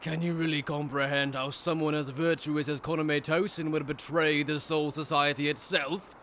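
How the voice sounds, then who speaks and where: A man's voice: fairly high pitch, flat delivery, normal speed, a bit bright, a little hiss or hum, lightly acted. A man playing a smug, taunting aristocratic villain who talks down through rhetorical questions.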